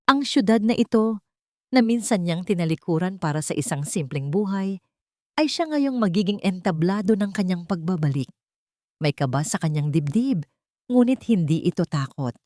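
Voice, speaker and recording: A woman tells a romantic drama as a scripted narrator. Her voice sits a little low but moves with great animation. The sound is close and dry. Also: animated, moderate pace, darkish tone, no echo. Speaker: narrator of love stories.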